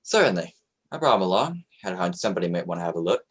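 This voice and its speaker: A man's voice: low-pitched, big pitch swings, racing, fairly bright tone, slightly rough, exaggerated voice. A man playing a young American sloop captain in a radio play, plain-spoken and commanding, with an American accent. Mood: respectful, confident. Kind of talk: radio play acting, 1940s style.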